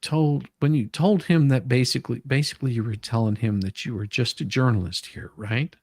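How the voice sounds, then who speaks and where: A man co-hosting a reading of a transcript aloud, moving between casual banter and read-out questions in an informal, halting way. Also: mid-range voice, darkish tone, no room sound, clean studio sound.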